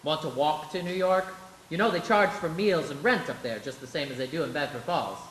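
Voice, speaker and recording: Decent, earnest small-town everyman, male actor, higher bright radio-play voice in a reverberant hall. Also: a little fast, lightly acted. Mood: kindly, teasing. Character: kindly, earnest small-town building-and-loan man. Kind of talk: live radio play acting. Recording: echoey hall, faint hiss.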